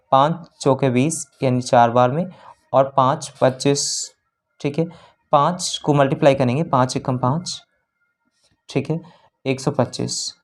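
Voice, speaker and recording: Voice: mid-range voice, a little mellow. A maths teacher working through exam practice problems step by step, repeating numbers and checking in with the listener as they go. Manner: repeats numbers and keeps checking in with "theek hai"; mixes English terms into the explanation.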